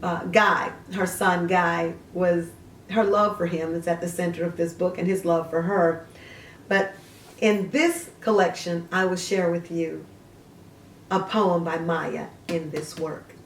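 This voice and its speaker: A woman's voice: fairly low pitch, fairly even intonation, measured pace, a little hiss or hum. A woman host informally introducing books and poems. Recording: echoey room, distant mic.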